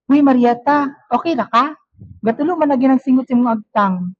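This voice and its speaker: Actress as teasing student pal in radio play, slightly high voice, Filipino accent, dull recording. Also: female voice, fairly quick, no echo, faint music behind. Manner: breezy, teasing student banter. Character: chatty, teasing teenage girl student, best friend. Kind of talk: amateur radio drama acting. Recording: muffled lo-fi recording.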